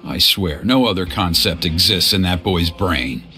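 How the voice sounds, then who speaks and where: A man's voice: low-pitched, a little slow, bright, forceful tone, soft background music. An actor playing a gossiping, sarcastic male tribe elder in a video game. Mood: gossipy. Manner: sarcastic, gossiping, then gushing.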